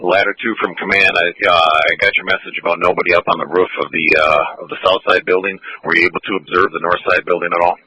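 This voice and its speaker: A man with a very low voice and a hard, bright edge works as fire incident commander on a two-way radio. He talks in halting, deliberate emergency radio phrases. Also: steady tone, low-quality recording. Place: fire scene, over scanner radio.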